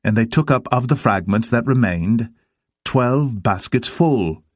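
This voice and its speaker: A man narrating dramatised scripture in a solemn, measured manner. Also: medium pitch, lively intonation, no room sound, clean studio sound.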